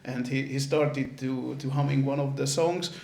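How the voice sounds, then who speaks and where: A man's voice: mid-range voice, normal speed, creaky, slight background noise. A man from Hungary, a band member, telling stories in an interview with many repeated words. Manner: animated storyteller, repeats words. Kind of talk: casual interview answers.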